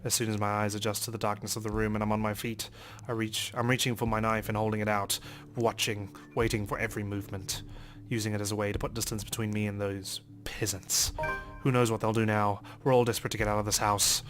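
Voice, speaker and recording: A man's voice: on the low side, flat delivery, fast talker, a bit bright. A man hosting a let's play, reading visual novel narration aloud in a theatrical, in-character way. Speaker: let's play host reading game text. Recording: voice over game music.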